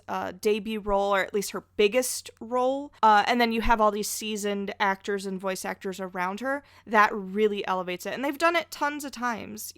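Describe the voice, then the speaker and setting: A woman chats away in a casual podcast discussion. Her voice sits at a middling pitch, and she states her opinions freely while being a little self-deprecating. The sound is dry, with hardly any room around it. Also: a bit bright, clean tone, no echo. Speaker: podcast guest.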